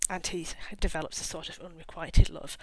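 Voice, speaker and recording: A middle-aged woman with a fairly low voice, recorded close and dry. She talks casually, like a writer chatting to her followers, rambling a little and slipping in wry asides at her own expense. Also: little pitch movement, fairly quick, mellow, no echo, poor audio. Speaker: author presenting her own work. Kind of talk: casual talk to camera.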